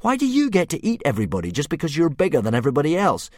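A man's voice: wildly swooping pitch, rapid-fire, no echo, clean studio sound. A man doing an anxious, eager young boy. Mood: indignant. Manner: high, eager and indignant.

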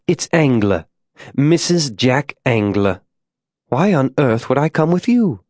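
A man's voice: medium pitch, big pitch swings, slow pace, a little mellow, no echo, studio quality, pitched above the performer's own voice. A man narrating an audiobook, voicing a proud, genteel frontier wife who is stubbornly waiting, in a polite, wistful, chatty manner. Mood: haughty, insistent. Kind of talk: audiobook character dialogue.

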